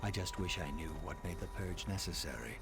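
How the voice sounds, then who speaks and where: An actor playing a scholarly reptilian assassin in a video game, speaking in a measured, formal, dryly sardonic way. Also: male voice, low-pitched, expressive, creaky, over loud music. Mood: brooding. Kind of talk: video game dialogue acting.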